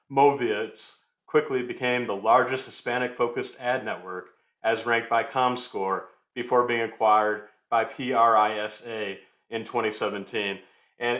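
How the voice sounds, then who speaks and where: A man's voice: medium pitch, a bit bright. A man hosting a podcast who introduces his guest and asks questions in a friendly, loose way with fillers. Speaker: podcast host. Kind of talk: interview hosting. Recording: roomy echo.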